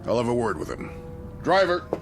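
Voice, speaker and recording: An actor playing a blustery, pompous military colonel, formal and demanding, in a radio drama. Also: male voice, mid-range voice, big pitch swings, fairly quick, background noise. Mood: impatient. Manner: pompous, clipped commands.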